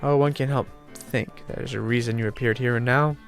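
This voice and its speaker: A man's voice: mid-range voice, fairly even intonation, moderate pace, faint music behind, natural voice. A man reading a polite, scholarly professor. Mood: earnest. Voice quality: nasal. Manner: reading game dialogue aloud in a formal tone. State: sick, congested. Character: polite, scholarly male professor, formal and eager.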